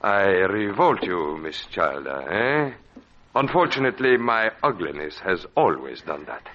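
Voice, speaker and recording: Menacing twisted puppeteer, wildly swooping voice. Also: male voice, deep voice, big pitch swings, unhurried, a bit bright, slight background noise, heavily acted. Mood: mocking, menacing. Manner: theatrical, ranting, with mocking rhetorical questions. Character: bitter, menacing, twisted puppeteer with withered legs and crushing arms. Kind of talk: old-time radio horror acting. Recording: muffled vintage radio recording with music.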